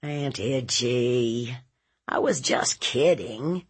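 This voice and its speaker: A woman's voice: very deep voice, drawn-out words, breathy edge, exaggerated voice. A woman voicing a sullen, evasive seventeen-year-old boy in a story, giving short, defensive answers. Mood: sheepish.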